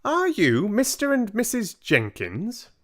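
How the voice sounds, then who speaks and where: A man's voice: sits high, wildly swooping pitch, slow, fairly bright tone, pitched above the performer's own voice, heavily acted. A man voicing a polite but firm elderly grandmother in a storybook, speaking delicately and insistently.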